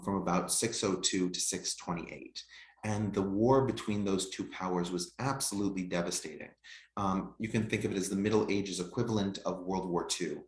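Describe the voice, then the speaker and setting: Low-voiced male lecturer in a roomy echo. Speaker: history lecturer. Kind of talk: online history lecture.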